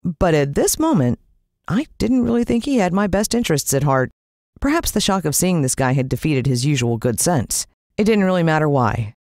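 A woman's voice: sits low, very animated, normal speed, a little mellow, dry recording, studio quality. A woman with an American accent narrating a story in a dramatic, suspenseful way, drawing out words. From United States. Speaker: audiobook narrator.

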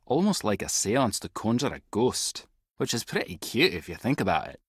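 Husky, wry Scottish spy. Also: male voice, fairly low pitch, very animated, moderate pace, no echo, clean studio sound. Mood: wry, explanatory. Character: wry, clever male tech-savvy spy agent. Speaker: video game voice actor. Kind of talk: scripted adventure game dialogue.

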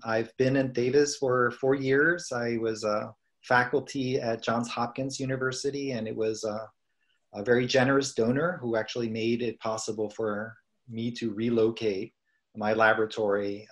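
A middle-aged man with an American accent, a scientist giving an informal, halting, conversational lecture over a video call. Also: on the low side, flat delivery. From United States, East Coast. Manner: halting, conversational, self-correcting. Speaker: professor and research scientist presenting.

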